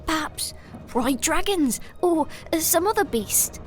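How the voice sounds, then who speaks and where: A man's voice: very high-pitched, lively intonation, measured pace, soft background music, pitched above the performer's own voice. A man voicing a small, eager young woman who speaks hesitantly in an audiobook reading.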